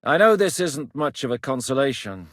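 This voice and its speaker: A man voicing a blunt, steady mercenary captain in a video game, gruff and plain-spoken, recorded in a dry studio booth. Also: mid-range voice, normal speed, dry recording. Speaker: mercenary leader.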